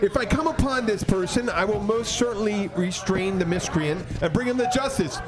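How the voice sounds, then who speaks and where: A man playing a gallant, pompous gentleman detective in an amateur comic radio play, with flowery archaic speech. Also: sits high, normal speed, pitched above the performer's own voice, close to an everyday voice, poor audio. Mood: pompous, courtly. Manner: pompous, archaic, put-on formal accent.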